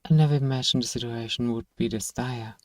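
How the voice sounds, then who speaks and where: A man playing a gruff, sardonic but grudgingly kind apothecary shopkeeper in an ASMR fantasy roleplay, dryly teasing and sighing as he talks to one listener. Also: medium pitch, steady tone, fairly quick, no room sound, clean studio sound, lightly acted. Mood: sombre, apologetic.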